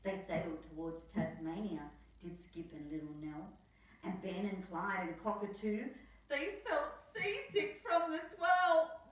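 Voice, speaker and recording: A woman's voice: medium pitch, animated, a little slow, recorded from a distance. An Australian woman reading a children's picture book aloud as a storyteller, with theatrical emphasis on the rhymes. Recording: echoey room, away from mic.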